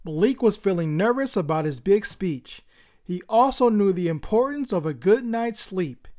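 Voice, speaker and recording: A woman's voice: mid-range voice, animated, normal speed, mellow. A woman hosting a story time, reading expressively as the narrator of a children's book.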